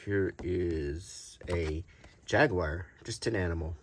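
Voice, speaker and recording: A man presenting collectible cards in a casual, unscripted show-and-tell, halting and pausing often as he describes things. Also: low-pitched, slight background noise. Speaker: host presenting vintage collectible game cards.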